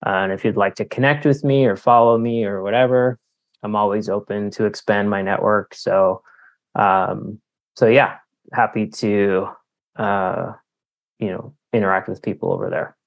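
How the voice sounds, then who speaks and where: A man's voice: fairly low pitch, steady tone, moderate pace, vocal fry. A man from Canada talking casually as an interviewed author, explaining things in a hesitant, wordy way.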